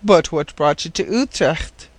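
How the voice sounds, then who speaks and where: A man voicing a kindly, absent-minded old professor in a storybook, speaking gently, thoughtfully and with some hesitation. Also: on the high side, animated, measured pace, no echo. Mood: concerned, pitying.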